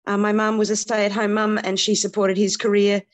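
A woman's voice: mid-range voice, completely flat delivery, brisk. A middle-aged woman, a comedian chatting casually as an interview guest, hesitant with many fillers. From Australia.

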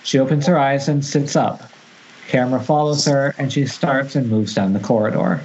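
A man narrates a screenplay for a casual table read. His voice is mellow and dark, and he sometimes stumbles and rereads. The internet call sounds dull, with faint background noise. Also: medium pitch, fairly even intonation, normal speed. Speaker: narrator reading stage directions.

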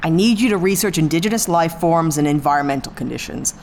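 A woman's voice: low voice, animated, quick pace, background noise. A woman playing a no-nonsense spaceship captain in a sci-fi audio drama, dry, bossy and sarcastic. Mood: businesslike. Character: brisk, no-nonsense female spaceship captain, sardonic and exasperated.

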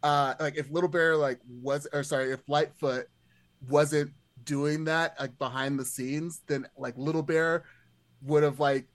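A man's voice: a little high, fairly even intonation, measured pace. A man talking casually about film as a podcast guest, in rambling, circling sentences peppered with "like".

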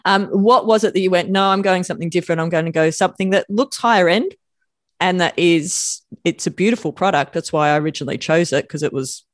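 A woman's voice: fairly low pitch, fast, no echo, clean studio sound. Enthusiastic woman hosting a podcast. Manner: enthusiastic, gushing, repeats words when starting sentences. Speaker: podcast host. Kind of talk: casual interview chat.

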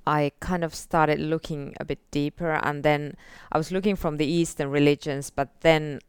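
A woman in her forties from northern Finland, a Finnish speaker, telling her life story as an interview guest, softly and with many fillers. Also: low-pitched, steady tone, moderate pace, clear, resonant tone, no echo, studio quality. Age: 40s. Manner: soft-spoken, many fillers like "like" and "uh". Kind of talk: casual testimony interview.

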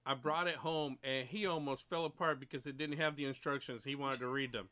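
A man's voice: mid-range voice, steady tone, a little fast, bright, forceful tone. A man in his late forties hosting a casual livestream chat, rambling and repeating himself, laughing often. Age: 40s. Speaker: stream host.

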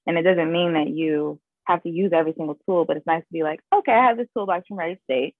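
Young actress on a tinny phone line. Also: female voice, sits low, quick pace, no echo. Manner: animated storyteller, laughs often, says "like" a lot. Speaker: guest actress. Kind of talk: casual interview chat.

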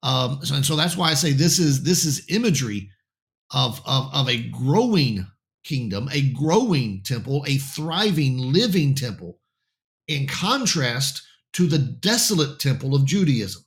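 A man teaching a Bible passage verse by verse on air, slightly hoarse and raspy, with halting restarts and frequent "okay?" checks. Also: medium pitch, very animated. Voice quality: raspy voice in the morning. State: slightly hoarse, clearing throat.

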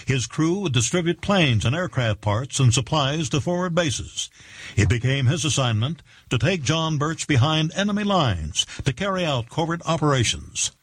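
A man reading scripted documentary narration in a husky voice. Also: on the high side, very animated, normal speed, dry recording.

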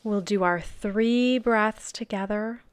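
A woman leads a guided meditation at an extremely unhurried pace, letting her phrases drift down into near-whispers. Her voice is smooth and resonant, recorded close and dry with no room sound. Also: mid-range voice, no room sound. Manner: soft, slow and soothing, trailing off into near-whispers.

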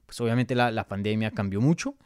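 A man's voice: fairly low pitch, a little fast, a little mellow, smooth, dry recording, studio quality. A Colombian-born man hosting a live Q&A, reading viewer questions aloud and answering them in a chatty way, with many fillers and restarts. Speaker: MMA journalist and show host.

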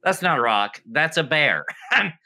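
A man streamer in casual chat, relaxed and easygoing, who says "you know" a lot. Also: on the high side, unhurried, a little hiss or hum. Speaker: streamer, co-host.

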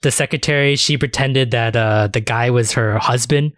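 A man in a dry-sounding recording, talking as a podcast co-host. His voice sits at an ordinary male pitch. He chats informally about anime, with crude wording and swearing. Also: moderate pace, fairly bright tone, no room sound. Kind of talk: casual chat about anime.

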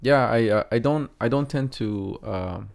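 Soft-spoken Mexican-accented man, smooth voice. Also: mid-range voice, measured pace. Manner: hesitant, many fillers and restarts. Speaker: guest artist. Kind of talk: casual interview.

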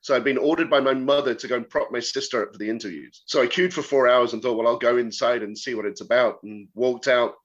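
A man's voice: mid-range voice, quick pace, hardly any pauses. A man from the UK, the guest on a podcast, telling stories in a wry, casual way. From United Kingdom. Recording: dull lo-fi audio.

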